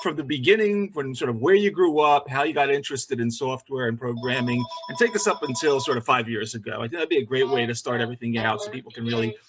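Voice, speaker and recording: A man's voice: medium pitch, fast talker, few pauses, dull audio, crowd noise. Man hosting an interview show. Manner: reads guest introductions with frequent "uh" fillers. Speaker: podcast host.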